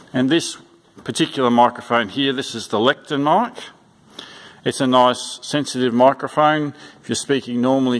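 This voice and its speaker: A man giving an informal, unscripted instructional walkthrough in a plain-spoken, halting way, repeating his instructions. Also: medium pitch. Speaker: church sound system instructor. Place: indoors in a church.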